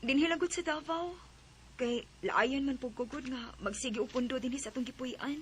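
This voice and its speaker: A woman's voice: high-pitched, moderate pace, close to an everyday voice. An actress playing a caring, practical young girlfriend in a radio drama, with a Cebuano Filipino accent. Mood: resigned, plaintive.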